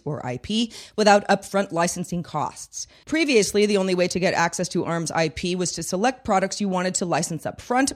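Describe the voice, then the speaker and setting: A woman presents scripted news headlines for a podcast. Her voice sits at an average pitch for a woman. She delivers each item in a measured, matter-of-fact newsreader manner.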